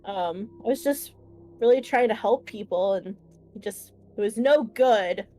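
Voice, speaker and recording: A woman's voice: mid-range voice, measured pace, mellow, faint music behind. A woman improvising casually in character as a tabletop game player, hesitant and searching for her words.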